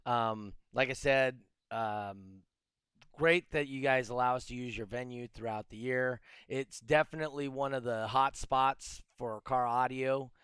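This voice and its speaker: A man's voice: mid-range voice, fairly even intonation, unhurried, fairly bright tone, clear, resonant tone, no echo. A man hosting a casual podcast, speaking in an easygoing, affirming way. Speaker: podcast host.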